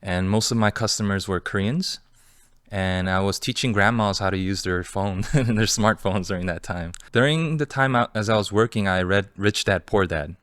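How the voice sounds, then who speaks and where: A man in his thirties from Los Angeles, a guest entrepreneur telling his story in a relaxed, candid way with many fillers. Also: lowish voice, moderate pace, no room sound, studio quality. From Los Angeles, California. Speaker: guest, entrepreneur and fund manager. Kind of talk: casual interview storytelling.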